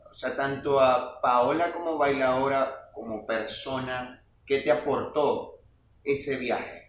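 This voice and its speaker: A man, hosting a podcast and asking questions in a relaxed, attentive way. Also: mid-range voice, slow. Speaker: podcast host. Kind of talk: podcast interview. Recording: roomy, distant mic, faint noise.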